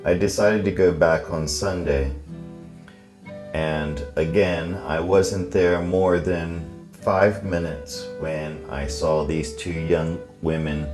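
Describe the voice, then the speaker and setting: A man's voice: low voice, fairly even intonation, slow pace. An American man narrating a personal story as a vlog host in voice-over. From Florida, United States. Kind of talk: scripted-sounding voice-over storytelling. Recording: music bed under voice-over. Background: plucked guitar music.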